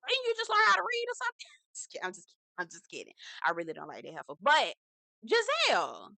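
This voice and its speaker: A woman's voice: sits high, wildly swooping pitch, fairly quick, creaky, pushed and bright, no room sound. Sassy slang-heavy woman recapping gossip. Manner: sassy, blunt, swearing, heavy slang, lots of "okay?" and "girl". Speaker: reality TV recap host. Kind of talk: casual commentary recap.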